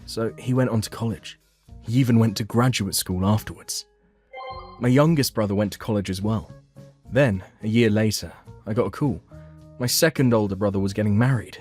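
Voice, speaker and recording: A man with a fairly low voice narrates a first-person story in dramatized manga dub style. Soft background music plays behind him.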